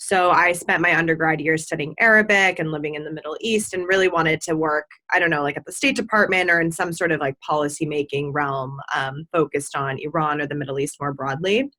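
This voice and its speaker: Low-voiced young woman, chatty and relaxed. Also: a little fast, few pauses. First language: Farsi. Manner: chatty, frequent ums and likes. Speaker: guest. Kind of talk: casual interview answers.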